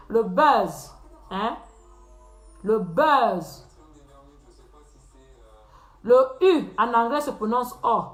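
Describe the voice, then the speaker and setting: High-voiced woman ranting with laughter. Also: a bit bright. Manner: teasing, laughs often, repeats phrases. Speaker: live stream host. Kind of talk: mocking live-stream rant. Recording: echoey room.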